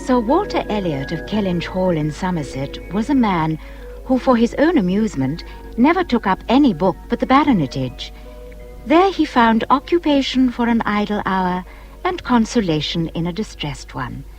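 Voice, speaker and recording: A woman with an English accent giving measured, scripted storytelling narration for a radio drama. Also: mid-range voice, sing-song, soft background music.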